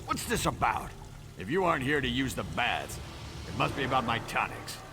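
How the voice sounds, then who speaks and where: Shifty, gravelly orc peddler. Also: male voice, medium pitch, big pitch swings, normal speed, over music. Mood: brusque, evasive. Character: shifty male orc tonic peddler, self-righteous and defensive. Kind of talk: video game character acting.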